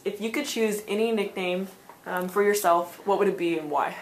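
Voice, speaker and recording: A woman's voice: medium pitch, moderate pace, a little hiss or hum, rough recording. A woman interviewer reading out playful questions.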